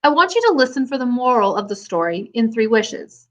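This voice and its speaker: A woman's voice: on the high side, very animated, a little fast, fairly bright tone. A woman teacher hosting and narrating a scripted storybook tale for children. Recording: dull lo-fi recording.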